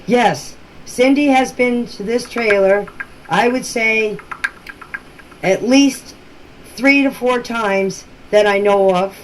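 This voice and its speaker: A woman giving informal explanations in voice messages, speaking in a plain, deliberate, matter-of-fact way. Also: on the high side. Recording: noisy amateur voice-message recording.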